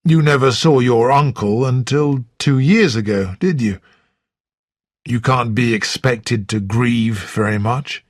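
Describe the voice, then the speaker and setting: A reader voicing Blunt, a plain-spoken older man, with a lowered, clipped delivery. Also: slow pace, dry recording, studio quality, natural voice.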